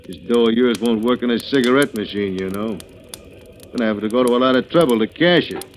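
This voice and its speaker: An actor with an American accent playing a cocky, mocking gangster in a 1940s radio drama, bossy and slangy. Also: male voice, medium pitch, a little fast, close to an everyday voice. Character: cocky, mocking male hoodlum gang leader. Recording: noisy old radio recording.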